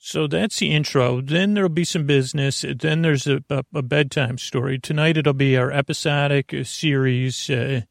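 A man hosting a bedtime podcast in a creaky, gravelly voice, gently meandering through tangents and halting self-corrections to reassure the listener. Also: mid-range voice, no room sound, studio quality. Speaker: podcast host. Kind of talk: rambling, unscripted bedtime podcast monologue.

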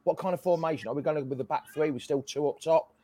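A man hosting a casual fan chat on a video call, his voice hoarse, greeting each guest by name in a chatty way. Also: on the high side, fast, mellow. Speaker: host.

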